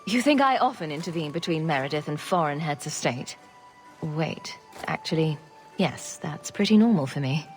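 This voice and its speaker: A sardonic noblewoman champion from a fantasy game. Her delivery is dry yet animated, in a lowish register, with faint music underneath. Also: normal speed. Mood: wry. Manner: dry, wry. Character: sardonic, confident noblewoman champion, wry adventurer. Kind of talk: video game cutscene acting.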